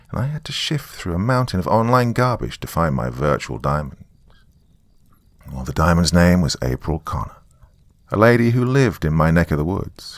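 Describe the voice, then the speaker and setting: A very low, creaky male voice, recorded dry and close. A man acts out a jaded, middle-aged small-town narrator who confides his horror story in a conversational, first-person way. His delivery is sardonic and matter-of-fact, full of dry asides. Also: dry recording.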